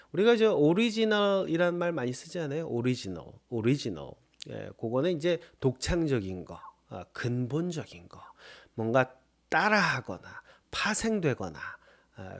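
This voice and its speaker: A man from Korea teaching an English lesson, who keeps checking in with his listeners and says his English model phrases slowly and deliberately. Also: mid-range voice. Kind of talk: lively recorded English lesson.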